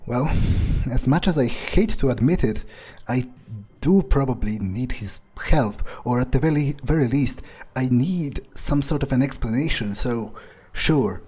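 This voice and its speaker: Husky, mellow man musing aloud. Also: mid-range voice, lively intonation. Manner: sweary, halting, musing aloud. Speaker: gaming video player. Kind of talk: improvised in-character game commentary.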